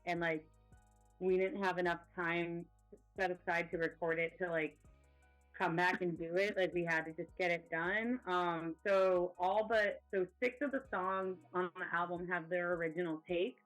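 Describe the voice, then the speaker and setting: A man with a natural rasp, a band's co-founder and singer, chatting animatedly and emphatically in an interview. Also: high-pitched, fairly even intonation, normal speed, a bit bright, soft background music, poor audio. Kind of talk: casual interview chat.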